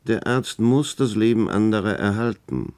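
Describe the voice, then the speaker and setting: A hospital doctor in a radio play, deepish, very animated pitch, bossy n wry. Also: male voice. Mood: firm, sober. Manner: brisk, authoritative, dryly ironic. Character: worldly male hospital doctor, commanding, wry, calculating. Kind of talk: German radio drama acting.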